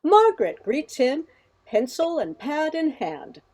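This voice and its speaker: High, animated woman narrator. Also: takes it slowly, slight background noise. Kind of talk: scripted narration of a radio play.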